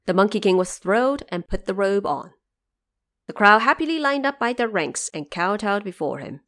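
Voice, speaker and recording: A woman narrating an audio drama story from a script. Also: mid-range voice.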